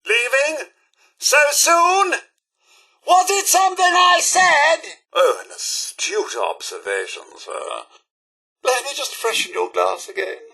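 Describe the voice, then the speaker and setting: Casting: fussy British robot butler, game companion, high-pitched and wildly animated, formal and eager to serve. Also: male voice, measured pace, vocal fry, slightly rough, full character voice. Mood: eager, polite. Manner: formal, deferential, theatrical. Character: prim, loyal robot butler, fussy, cheerful and wistful. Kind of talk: scripted video game companion dialogue.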